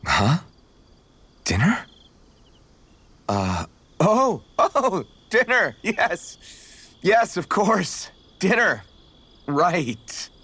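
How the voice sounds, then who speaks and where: A man playing an earnest young swordsman in a video game, gentle in manner and awkward when flustered. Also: high voice, big pitch swings, very slow, long pauses, breathy edge, heavily acted. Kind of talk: video game cutscene acting.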